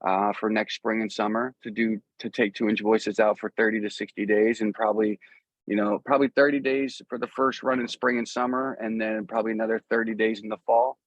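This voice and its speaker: A man's voice: on the low side, flat delivery, brisk, no room sound, lo-fi sound. A man speaking casually and informally as a musician guest in an interview, rambling a little as he goes.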